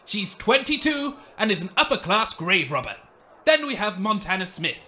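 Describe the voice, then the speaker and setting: A man's voice: high-pitched, sing-song, moderate pace, bright, forceful tone, full character voice. A man playing a showy, grandiose wasteland game show host in a comedic radio play.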